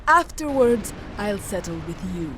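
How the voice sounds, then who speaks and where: A woman's voice: medium pitch, very animated, a little slow, a bit bright, a little hiss or hum, poor audio. A woman playing a vengeful, menacing female fighter in a video game.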